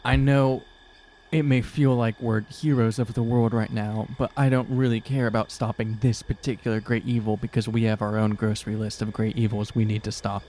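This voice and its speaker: A man's voice: fairly low pitch, flat delivery, normal speed, warm tone, slight background noise. A man improvising casual in-character chat as a player in a tabletop role-playing game, slangy and conversational.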